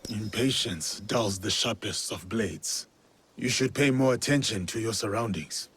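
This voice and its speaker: A grave feudal Japanese warrior in a video game. His voice sits low, and he speaks formally in terse, deliberate phrases, with ambient noise behind him. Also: fairly bright tone. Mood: stern. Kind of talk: video game cutscene acting.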